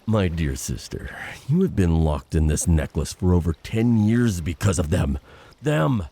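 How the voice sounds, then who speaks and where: Man voicing a very deep, husky, menacing monster brother. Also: very animated, dark tone, heavily acted. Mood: bitter rage. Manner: menacing, formal, slow threats. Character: vengeful, reckless monster older brother, menacing and bitter. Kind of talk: dramatic audio roleplay acting.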